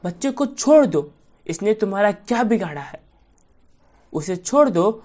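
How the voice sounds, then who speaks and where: A woman's voice: medium pitch, big pitch swings, measured pace, darkish tone. A woman voicing a worried village priest's wife who questions and pleads humbly in a children's story. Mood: pleading.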